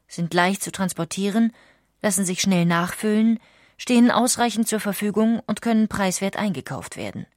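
A woman reads scripted documentary narration, articulating clearly as she explains. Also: mid-range voice, darkish tone, no echo, clean studio sound.

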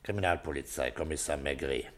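An actor playing a terse, unhurried middle-aged police commissioner in a German radio drama, questioning and giving orders dryly. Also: male voice, rumbling low. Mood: probing.

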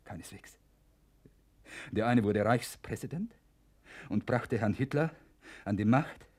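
An actor playing a bitter, resigned middle-aged professor in prison in a German radio play, measured and halting, with dry sarcasm. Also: male voice, fairly low pitch, animated, darkish tone. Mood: bitter, sardonic.

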